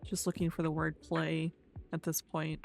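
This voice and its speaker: A woman commentating casually as she plays a video game, hoarse and losing her voice, talking quietly to herself. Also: deep voice, monotone, normal speed, a little hiss or hum. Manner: quiet, subdued, talking to herself while playing. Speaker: gaming video host. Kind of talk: casual let's-play commentary.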